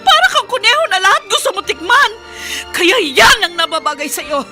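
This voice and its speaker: A vengeful woman in a radio drama, tearful and raging. Her voice is extremely high and swings wildly as she sobs out rapid threats. Music plays clearly behind her. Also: expressive, sharp tone, full character voice. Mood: tearful rage. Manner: shrill, sobbing, rapid outburst.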